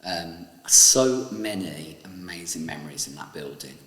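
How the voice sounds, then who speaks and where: A man, a former pantomime cast member, thinking back in a measured, hesitant way with many pauses. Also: low-pitched, fairly bright tone. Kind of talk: reflective interview. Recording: echoey room with long reverb.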